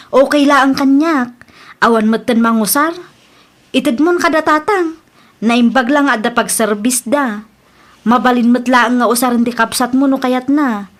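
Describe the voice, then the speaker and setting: A woman playing a generous, respectful wife and dutiful daughter in an audio drama, speaking gently and politely. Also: on the high side, normal speed, natural voice. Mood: agreeable.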